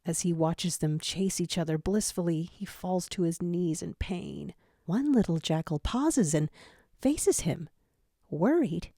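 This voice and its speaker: Expressive woman narrating dramatic fiction. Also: lowish voice, a little slow, no room sound, clean studio sound.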